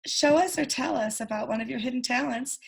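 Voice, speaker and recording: A woman's voice: high-pitched, brisk, creaky. A woman in her early fifties hosting a video call interview, friendly and chatty, laughing easily. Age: 50s. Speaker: host.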